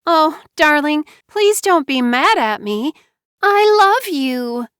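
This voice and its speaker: A woman narrator voicing a manipulative young wife who pleads in a high, whiny little-girl voice through sobs. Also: expressive, slow pace, a bit bright, no room sound, studio quality, exaggerated voice. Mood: tearful pleading. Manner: high little-girl whine, sobbing pleas.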